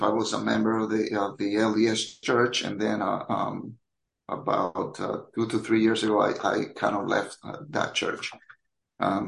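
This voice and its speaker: A man in his fifties from the Dominican Republic, a native Spanish speaker with a Dominican accent, casually telling his story as a podcast guest in a hesitant, halting way, in a roomy echoey recording. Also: fairly low pitch, gravelly, fairly bright tone. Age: 50s.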